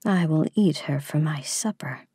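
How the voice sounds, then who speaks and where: Woman as a husky, cruel old robber queen. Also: low-pitched, normal speed, dark tone, no room sound, clean studio sound, voice pushed down. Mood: menacing relish. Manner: menacing, relishing. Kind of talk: storybook villain voice.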